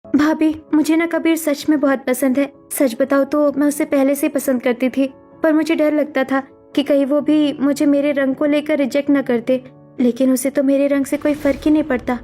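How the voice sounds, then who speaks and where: Woman acting an earnest, high-voiced young cartoon daughter. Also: little pitch movement, moderate pace, mellow, faint music behind, natural voice. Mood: shy, earnest. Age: young adult. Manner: earnest, pleading. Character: gentle, devoted, self-sacrificing young daughter, earnest and pleading. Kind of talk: melodramatic Hindi cartoon story acting.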